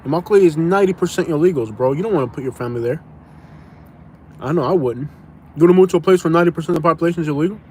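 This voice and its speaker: A young man from Florida talks loosely in a live Q&A, circling back over his points and tossing in "bro". His voice sits a little high. A faint noise floor runs under the recording. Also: rapid-fire, a little mellow. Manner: rambling, repeats himself and restarts, says "bro". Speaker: live-stream host answering viewer questions. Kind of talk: casual live Q&A chat.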